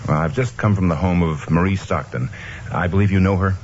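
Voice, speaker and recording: A man's voice: low voice, a little fast, close to an everyday voice. A man playing a kindly, determined young newspaperman in an old-time radio drama, acting and narrating in a matter-of-fact, clipped way. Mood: inquiring. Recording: dull, noisy old radio recording.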